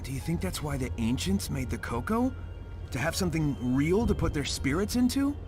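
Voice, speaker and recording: A man's voice: on the high side, very animated, fairly quick, music in the background. A man voicing a cocky, easygoing young male hero in a video game cutscene, breezy and flippant. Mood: casual, curious.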